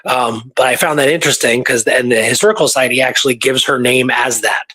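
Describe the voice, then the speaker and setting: A man's voice: medium pitch, fairly even intonation, fast talker, sharp tone, husky. A man presenting history as casual storytelling, talking informally and repeating words for emphasis.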